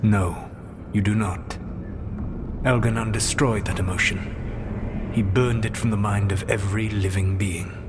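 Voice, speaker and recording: A man plays an ancient elven god from a fantasy game. He is lowish in pitch, solemn and formal, a calculating mentor weighing his words over an audible ambient noise. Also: fairly even intonation, unhurried, natural voice. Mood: sorrowful. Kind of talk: fantasy game dramatic dialogue.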